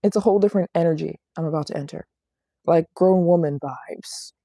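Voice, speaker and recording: A woman's voice: on the low side, brisk, warm tone, dry recording, clean studio sound. A woman in her late twenties chatting casually and playfully on a livestream as a travel vlogger, often repeating phrases for emphasis. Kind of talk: casual livestream chat answering viewer questions. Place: small apartment living room in Japan.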